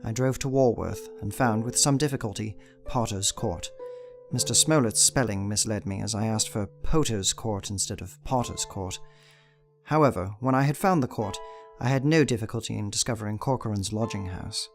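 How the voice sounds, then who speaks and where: An actor playing an earnest, determined young Victorian gentleman, narrating a journal in a formal, measured way in an audio drama. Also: fairly low pitch, soft background music, close to an everyday voice.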